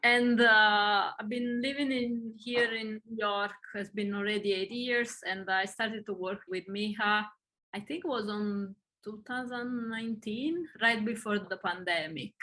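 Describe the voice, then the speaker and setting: A woman's voice: medium pitch, unhurried, sharp tone, low-quality recording. A woman with an Italian accent, introducing herself on a video call, hesitant and full of fillers. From Italy. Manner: hesitant, with many fillers and restarts. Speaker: acting student guest.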